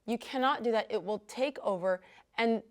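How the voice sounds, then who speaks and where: A woman's voice: fairly high pitch, normal speed. A middle-aged woman hosting a casual interview, speaking candidly and with emphasis. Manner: candid and emphatic, speeds up when making a point. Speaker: host.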